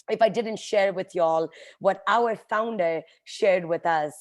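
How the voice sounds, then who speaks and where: She is a native Hindi speaker with a mid-range voice, speaking as a guest on a group call. Her delivery is earnest and emphatic, like a motivational address, and she speaks straight to her team. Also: mid-range voice. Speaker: guest speaker, company vice president.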